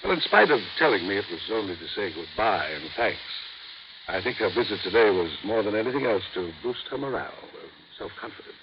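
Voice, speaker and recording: A man's voice: lowish voice, animated, brisk. An actor playing a formal male doctor who chooses careful words and corrects himself, in a 1950s radio drama. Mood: measured. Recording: muffled hissy old radio broadcast.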